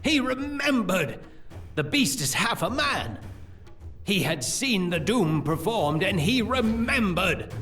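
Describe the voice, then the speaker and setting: A gloating villainous priest, swooping wildly. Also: male voice, sits high, very animated, a bit bright, far from the mic, faint music behind, exaggerated voice. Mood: excited gloating. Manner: theatrical, drawn-out, exclamatory gloating. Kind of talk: audio drama acting.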